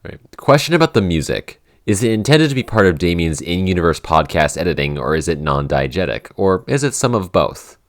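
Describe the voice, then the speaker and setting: A man's voice: sits low, big pitch swings, brisk, hardly any pauses, a little hiss or hum. A man talking casually as a podcast writer and co-host, reading out listener questions and chatting about the show.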